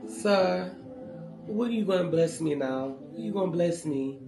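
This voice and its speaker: A man putting on an insistent woman airport worker, her voice pitched high and coaxing as she keeps angling for a tip. The sound is echoey, with music playing behind. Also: animated, normal speed. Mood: wheedling. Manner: wheedling, insistent. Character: pushy woman airport worker hustling for tips.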